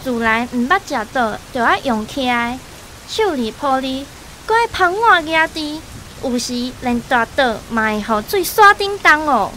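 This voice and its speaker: An outspoken Taiwanese village woman in a radio drama, gossipy and sympathetic. The voice is high and forceful, with very lively intonation. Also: measured pace, slight background noise, heavily acted, rough recording. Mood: complaining.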